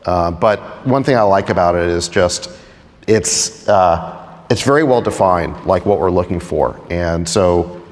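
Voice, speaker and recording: Very low-voiced man on a panel. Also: a little slow. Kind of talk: casual explanation on live panel. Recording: reverberant conference hall.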